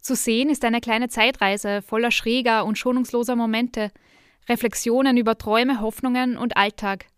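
A woman narrating a podcast reportage in a calm, even reading voice. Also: on the high side, normal speed, clear, resonant tone, dry recording, clean studio sound. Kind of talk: scripted narration.

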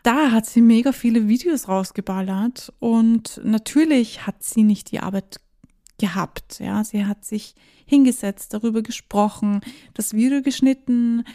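A woman's voice: on the high side, unhurried, warm tone. A woman hosting her own podcast in casual solo talk, chatty, dropping in English phrases and laughing now and then. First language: German. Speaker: podcast host.

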